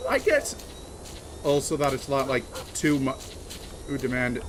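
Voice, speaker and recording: A man chatting casually on a game livestream, talking in a halting, rambling way with many restarts and fillers. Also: mid-range voice, sing-song, background noise. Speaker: streamer. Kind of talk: casual livestream chat while gaming.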